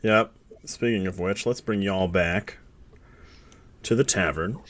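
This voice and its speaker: Game master, male, cheerful profane banter, bright tone. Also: low voice, takes it slowly. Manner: joking, profane, runs the session. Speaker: dungeon master. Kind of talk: casual chat before a tabletop game.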